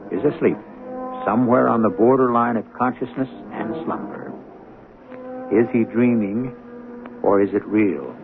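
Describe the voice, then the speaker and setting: Old 70s radio mystery host guy, low n gravelly, dramatic wry narration, thin broadcast sound. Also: phone-quality sound.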